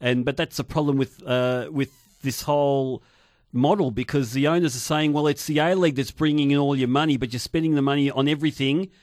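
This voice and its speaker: A man's voice: mid-range voice, fairly even intonation, brisk, a little mellow, dry recording, studio quality. A man, a panelist on a sports radio show, laying out his argument in a conversational way.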